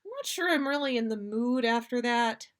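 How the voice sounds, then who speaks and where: A woman's voice: on the high side, animated, normal speed, fairly bright tone, voice pushed up. A woman voicing a bashful, easily embarrassed man with a flustered, huffy manner in a fan fiction reading. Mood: mollified. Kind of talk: fan fiction read aloud.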